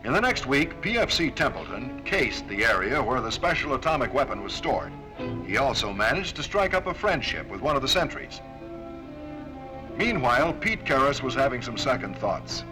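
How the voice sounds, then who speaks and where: A man's voice: medium pitch, very animated, moderate pace, music in the background, low-quality recording. An American man delivering stern, formal, authoritative scripted narration as presenter of a Cold War-era military training film.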